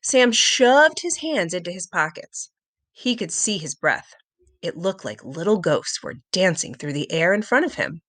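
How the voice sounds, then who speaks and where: Animated woman reading a children's story. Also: on the low side, unhurried. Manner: expressive, dramatic storytelling. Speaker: storybook reader. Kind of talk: animated children's read-aloud narration.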